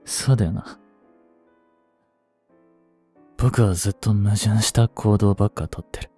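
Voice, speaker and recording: A man in his twenties playing an obsessive, jealous young stalker in an intimate voice drama, coaxing softly with long pauses. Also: on the low side, steady tone, slightly rough, soft background music. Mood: resigned, despairing. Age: 20s. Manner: soft, coaxing, brooding delivery with drawn-out pauses. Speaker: voice actor. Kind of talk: intimate Japanese voice drama, addressed to the listener.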